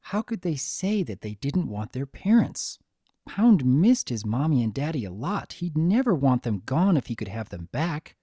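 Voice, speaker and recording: Male narrator, dramatic story reading, gentle, animated intonation, dry recording. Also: medium pitch, normal speed, no room sound. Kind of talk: dramatic scripted fan fiction narration.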